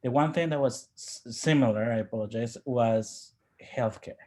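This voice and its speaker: A man from Ecuador, a native Spanish speaker, giving personal reflections as an interview guest in a halting, thoughtful way. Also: medium pitch, mellow. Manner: halting, thoughtful, with repeated word starts.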